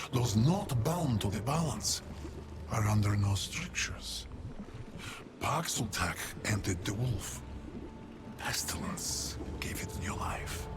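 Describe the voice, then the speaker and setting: Slow, weary ancient plague-ridden forest elder. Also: male voice, lowish voice. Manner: grave, archaic, deliberate storytelling with long pauses. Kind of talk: video game character dialogue, dramatic lore monologue. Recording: loud background music under the voice. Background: music.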